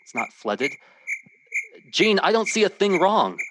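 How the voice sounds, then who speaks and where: An actor playing a frightened, protective husband and father in an old-time radio drama, urgent and halting. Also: high-pitched, sing-song, noisy background. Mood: worried.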